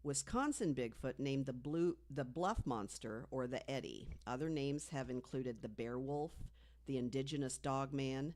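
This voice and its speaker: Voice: medium pitch, unhurried, a little mellow. An American podcast co-host telling a researched story in a conversational way, partly from prepared notes.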